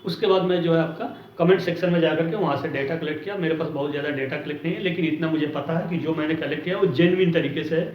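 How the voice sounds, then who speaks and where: High-voiced man teaching, echoey room. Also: rapid-fire, few pauses, slight background noise. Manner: repeatedly checks in with short tag words. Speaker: exam coaching teacher. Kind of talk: informal explanatory lesson. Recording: roomy, distant mic, dull lo-fi sound.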